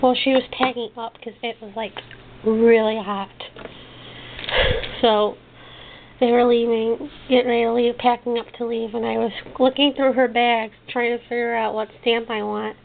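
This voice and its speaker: A woman giving a casual, halting show-and-tell of her craft haul, trailing off and rephrasing as she goes. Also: on the high side, little pitch movement, vocal fry. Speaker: hobby crafter showing purchases. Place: indoors at a desk. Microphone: handheld camera's built-in microphone. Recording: muffled phone-like sound, clicky background noise.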